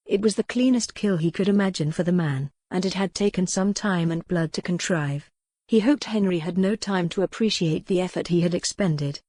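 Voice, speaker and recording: Dark, mellow woman narrating a novel. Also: lowish voice, no echo. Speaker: audiobook narrator. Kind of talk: scripted narration of a historical romance novel.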